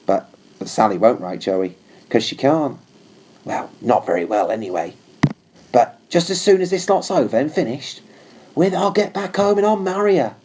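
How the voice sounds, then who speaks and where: A man's voice: mid-range voice, lively intonation, moderate pace, darkish tone, slight background noise, voice pushed up, natural voice. A man voicing a simple, sincere young cavalry trooper confiding in his horse, informal and homely, for a storybook. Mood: wistful, confiding.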